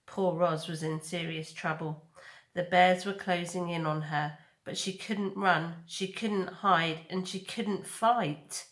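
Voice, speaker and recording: Slow-paced woman storyteller in echoey room. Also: on the low side, fairly even intonation, fairly bright tone. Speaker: storybook reader. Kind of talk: dramatic read-aloud narration of children's fiction.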